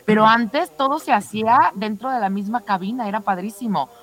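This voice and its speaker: A woman's voice: a little high, a little fast. A woman with a Mexican accent co-hosting a radio show in casual, enthusiastic conversation. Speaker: radio co-host. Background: background music.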